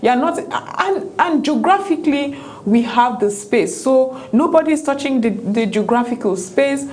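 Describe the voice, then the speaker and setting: Animated woman with halting fillers. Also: high voice. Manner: animated, many fillers and restarts. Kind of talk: panel discussion.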